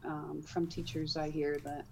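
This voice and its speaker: A woman's voice: low voice, a little slow, vocal fry, slight background noise. Chatty woman from the Netherlands, light Dutch accent. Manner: chatty, animated, laughs easily. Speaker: author, guest. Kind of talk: casual interview.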